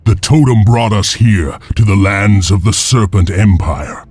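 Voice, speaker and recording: Deep, grave, vengeful clan warrior. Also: male voice, a little mellow, husky, soft background music. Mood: solemn, mournful. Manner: grave, deliberate, storyteller-like. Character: solemn, vengeful male heir of an enslaved wolf clan. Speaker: story narrator and lead character. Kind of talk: dramatic scripted game narration and dialogue.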